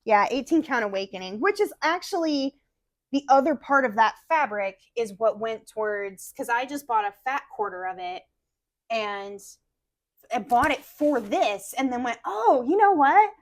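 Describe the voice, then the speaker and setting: Woman chatting about her stitching, kinda hoarse, lots of ums, dry close mic. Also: fairly high pitch, lively intonation, moderate pace, dry recording. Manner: rambling, many fillers and restarts. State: losing her voice. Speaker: podcast co-host. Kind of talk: casual chat about cross-stitch projects.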